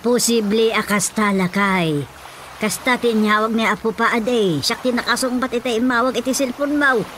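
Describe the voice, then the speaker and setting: A woman's voice: fairly high pitch, moderate pace, fairly bright tone, natural voice. A woman acting a village woman in a radio drama, speaking with an Ilocano accent. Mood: concerned. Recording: noisy radio drama ambience. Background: ambient noise.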